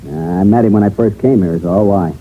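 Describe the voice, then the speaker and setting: An actor in a 1950s radio drama playing a breezy, money-minded town promoter with a brash, slangy, matter-of-fact manner. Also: male voice, on the low side, fast, lightly acted. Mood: brash, assured. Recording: tinny band-limited old radio recording, faint noise.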